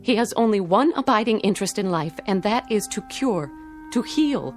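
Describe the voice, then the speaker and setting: A woman's voice: medium pitch, expressive, moderate pace, soft background music, lightly acted. A woman in a radio drama playing a young, determined wife, earnest, well-spoken and emphatic. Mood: earnest, proud. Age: young adult.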